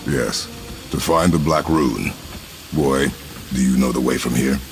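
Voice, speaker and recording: A man playing a stern, battle-hardened god of war and father in a video game, speaking tersely in a gravelly voice. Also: measured pace, background noise, heavily acted. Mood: gruff, commanding. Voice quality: very deep, gravelly. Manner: terse, weighty, clipped commands.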